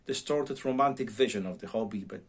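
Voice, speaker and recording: Halting non-native English-speaking man, chatting casually. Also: on the low side, husky. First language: non-English, self-taught English speaker. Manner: halting, searching for words, repeats word starts. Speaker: amateur radio vlogger. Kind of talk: casual unscripted talk to viewers. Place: home radio room.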